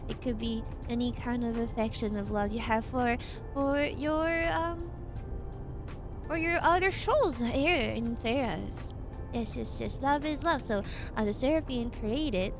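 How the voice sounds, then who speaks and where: A hoarse woman chats on a relaxed stream, giggling and rambling between thoughts. Her voice sits a little high, and she talks slowly and unhurriedly. Soft music plays quietly behind her. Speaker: VTuber streamer. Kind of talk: casual chatting stream.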